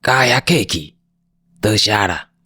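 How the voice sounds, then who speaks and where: A man voicing a gruff, helpful old seaport uncle with a Taiwanese accent in a radio drama. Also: fairly low pitch, animated, a little slow, bright, forceful tone, heavily acted. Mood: gruff, easygoing.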